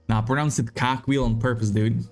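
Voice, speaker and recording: A man's voice: medium pitch, fairly even intonation, brisk, soft background music. A young man with a Czech accent, a game streamer chatting casually with viewers, swearing and reading chat aloud. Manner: laid-back, swears, reads chat aloud.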